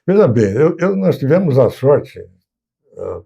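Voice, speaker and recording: Man, 60s, Brazilian accent, interview guest, mid pitch, warm tone, hesitant reminiscing. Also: big pitch swings, measured pace, vocal fry, dull audio. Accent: Brazilian Portuguese, São Paulo coast. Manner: reminiscing, with frequent restarts and hesitations. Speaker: guest, civil engineer. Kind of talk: casual interview talk.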